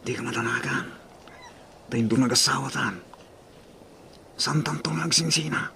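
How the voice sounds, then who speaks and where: Casting: devoted, easygoing male suitor, played by a man, medium pitch, Ilocano accent, radio drama, faint noisy ambience. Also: moderate pace, gravelly, pushed and bright. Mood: tender, coaxing. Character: lovestruck, good-natured male suitor.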